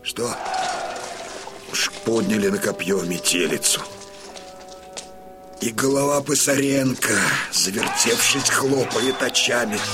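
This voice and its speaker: An actor playing a fierce, grieving old Cossack colonel and father in a theatrical audio drama, declaiming with heavy pauses. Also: mid-range voice, vocal fry, exaggerated voice. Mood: grim, watchful. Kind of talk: theatrical radio drama monologue. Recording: studio drama with loud music behind.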